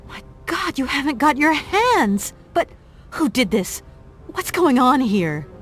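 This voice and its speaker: A woman's voice: high-pitched, takes it slowly, faint music behind, exaggerated voice. A woman playing a plucky, practical young adventurer in a video game, with a natural, wry delivery. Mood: alarmed. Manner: natural, expressive, casually wry. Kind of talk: video game character acting.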